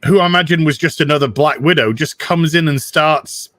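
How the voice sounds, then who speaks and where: A man's voice: fairly high pitch, a little fast, a bit bright, no echo. A man co-hosting a casual film discussion in a conversational manner. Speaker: livestream co-host.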